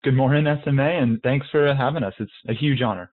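A man from Colorado, a soldier guest answering interview questions in a calm, measured way. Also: medium pitch. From Colorado, United States. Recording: tinny phone-like remote line.

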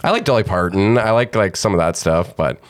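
A man hosting an interview, asking quick, friendly questions in a casual way. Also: fairly low pitch, expressive, dry recording, studio quality. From Canada. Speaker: podcast host and comedian.

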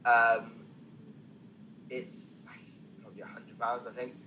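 A man's voice: mid-range voice, monotone, takes it slowly, sharp tone, a little hiss or hum, poor audio. A man speaking as a podcast guest, a stand-up comedian telling anecdotes conversationally with self-deprecating, wry asides.

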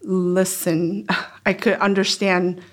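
A native Mandarin-speaking woman in her forties, from Taiwan, chatting as a podcast guest. Her voice sits a little low and she talks thoughtfully, with soft pauses and fillers. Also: flat delivery. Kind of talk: casual interview chat.

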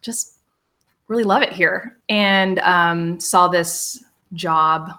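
A woman from southwest Missouri, a program director, speaking in a measured interview. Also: lowish voice, pushed and bright. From Southwest Missouri, USA. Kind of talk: casual interview.

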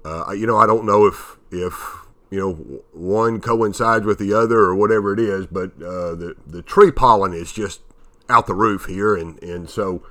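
A man in his fifties hosting a motivational podcast, a bit nasal from allergies, talking in a halting way with many fillers and restarts. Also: on the low side, fairly bright tone. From Tennessee. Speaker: podcast host.

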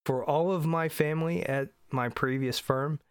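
A man's voice: a little high, steady tone, normal speed, clear, resonant tone, no room sound, clean studio sound. A middle-aged man from Indiana, congested from allergies, hosting a solo podcast in an earnest, emphatic way. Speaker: podcast host.

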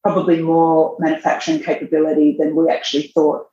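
A woman's voice: sits low, completely flat delivery, dark tone. Woman, roomy lo-fi sound. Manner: measured, thinks aloud while answering. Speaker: fashion magazine editor, guest. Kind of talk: interview answers.

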